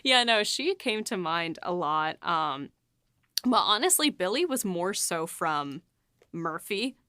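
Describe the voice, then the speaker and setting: A woman's voice: lowish voice, big pitch swings, takes it slowly, pushed and bright, dry recording, clean studio sound. A woman writer co-hosting a casual behind-the-scenes talk, rambling with lots of fillers and laughs. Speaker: writer and podcast co-host.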